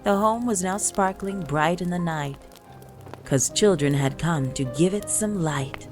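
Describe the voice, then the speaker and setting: A woman narrating a rhymed Christmas story in verse with a measured, lilting delivery. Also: lowish voice, a little mellow. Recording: music bed under the voice. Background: music.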